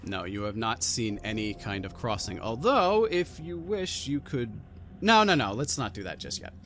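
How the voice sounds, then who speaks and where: Halting, deliberate man narrating a tabletop game. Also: medium pitch, sing-song, a bit bright, slight background noise. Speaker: game master. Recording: music behind the voice. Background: background music.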